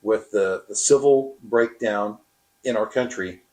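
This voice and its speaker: A man explaining his experience as a radio operator in an interview, with halting, deliberate phrasing. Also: fairly low pitch, a little mellow.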